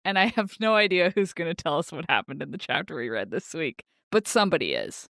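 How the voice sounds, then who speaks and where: A woman podcast co-host talks casually in a fairly low voice with very lively intonation. She is playful and wry, quick to laugh, and the sound is dry and free of room echo. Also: vocal fry. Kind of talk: casual book-podcast chat.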